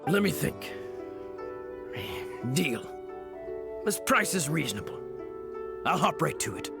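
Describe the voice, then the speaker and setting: An actor playing a greedy young odd-job hustler in a game, cheeky and haggling. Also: male voice, a little high, sing-song, takes it slowly, gravelly, heavily acted. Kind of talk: game voice acting. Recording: game audio with music behind.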